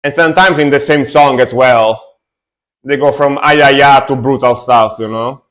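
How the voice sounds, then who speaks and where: A man's voice: medium pitch, measured pace. Laid-back young man chatting on stream. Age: young adult. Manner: relaxed, chatty, answers chat, fillers and restarts. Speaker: music reaction streamer. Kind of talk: casual stream chat reacting to music.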